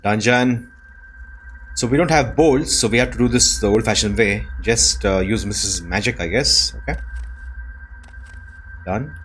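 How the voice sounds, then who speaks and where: A man giving casual, low-key gameplay commentary, musing aloud as he plays. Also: unhurried, long pauses, a bit bright, a little hiss or hum. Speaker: gaming video host. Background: game music.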